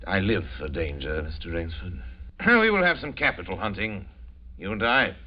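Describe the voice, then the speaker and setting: A very deep-voiced aristocratic hunter, courtly on the surface and menacing underneath. His delivery is grand and theatrical, in the style of forties radio drama. The sound is muffled, like an old broadcast. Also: big pitch swings, moderate pace. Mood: grandiose, brooding. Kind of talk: 1940s radio drama acting.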